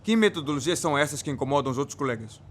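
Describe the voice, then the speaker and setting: Actor as rapid, stern male school director. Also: on the high side, animated, slight background noise, lightly acted. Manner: questioning, authoritative. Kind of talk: radio drama acting.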